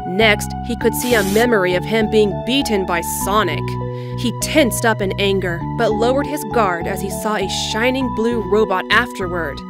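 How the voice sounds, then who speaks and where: A woman's voice: medium pitch, moderate pace. A woman reading scripted story narration as the narrator of an audio drama. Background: background music.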